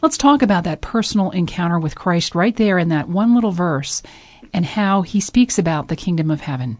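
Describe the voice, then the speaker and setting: A woman hosting an interview, who puts clear, measured questions to her guest. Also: fairly low pitch, animated, a little mellow, dry recording. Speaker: radio interview host.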